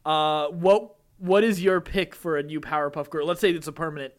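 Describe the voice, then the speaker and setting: A man with a slightly high voice hosts a relaxed nerd-news podcast. He talks in an easygoing, chuckly way, with phrases that stop and start as he moves between news items and banter. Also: moderate pace. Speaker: podcast host. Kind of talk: casual nerd-news chat with some read-out news items.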